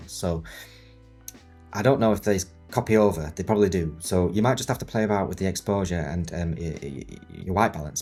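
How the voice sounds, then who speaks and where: Man, 30s, very low voice, husky, croaky cold, rambling joking tutorial host. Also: a little mellow. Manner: rambling, joking, self-mocking. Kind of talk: casual chatty tutorial. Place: home studio set in a new house.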